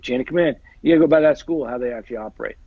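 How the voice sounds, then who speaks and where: A man's voice: mid-range voice, racing, no room sound. A man in his forties, an agent and guest on a video call, talking casually and rambling, repeating phrases and using many fillers, with earbuds in a small room. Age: 40s. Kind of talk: casual interview chat. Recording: dull lo-fi video call audio.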